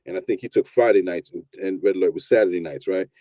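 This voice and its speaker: A man in his fifties from New York telling his story in a relaxed, rambling interview, often repeating words as he starts. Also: deep voice, quick pace, dry recording. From New York, USA. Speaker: guest, producer and DJ.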